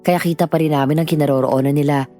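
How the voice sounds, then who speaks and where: A woman's voice: sits low, fairly even intonation, quick pace, warm tone, soft background music. A woman giving scripted first-person narration of a horror story.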